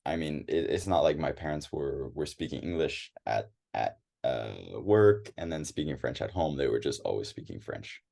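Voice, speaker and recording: A man's voice: a bass voice, expressive, normal speed, creaky, hardly any pauses, warm tone. A young American man chatting as an interview guest, hesitant, with many restarts and fillers. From United States. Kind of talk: casual interview chat.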